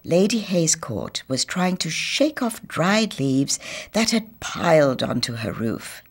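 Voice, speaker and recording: A woman in her sixties with a Trinidadian accent, reading a children's story in a deliberate, theatrical manner. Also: sits low, very animated, husky.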